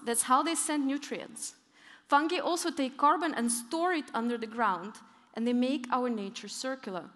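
A young woman giving a conference keynote on stage, telling stories and leaning hard on her key points. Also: high voice. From northern Europe, Arctic region. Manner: storytelling, lively emphasis on key points. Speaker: keynote speaker. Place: large conference hall on stage. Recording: long hall reverb.

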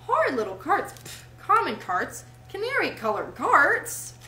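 A high, lilting, blissfully dazed storybook toad, acted out by a woman reader. He gushes and murmurs as if entranced by his new craze. The room rings slightly around him. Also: very animated, normal speed, reverberant, slight background noise. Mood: dreamy, exalted. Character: dreamy, rapturous male toad obsessed with motor cars.

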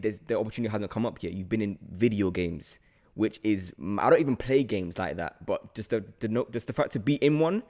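A man in his twenties with a lowish voice. He chats casually as an interview host, effusive and easily excited, close on a podcast mic. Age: 20s. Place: studio room with large windows. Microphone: podcast mic on boom arm.

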